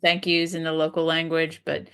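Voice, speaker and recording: A woman's voice: low voice, completely flat delivery, normal speed, fairly bright tone, clear, resonant tone. A woman co-host chatting casually, mostly with brief, easygoing interjections. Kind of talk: casual chat.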